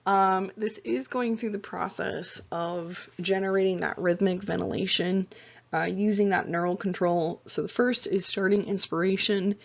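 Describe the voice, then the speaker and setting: A woman instructor talking through lecture slides in a casual, chatty way with lots of fillers and self-corrections, her voice getting tired and hoarse. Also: lowish voice, dull audio. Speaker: anatomy and physiology instructor.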